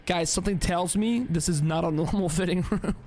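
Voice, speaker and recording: Casting: young man, joking streamer, slightly high voice, faint background hiss. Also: brisk, a little mellow. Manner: joking, swears, laughs often. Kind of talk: casual gameplay commentary.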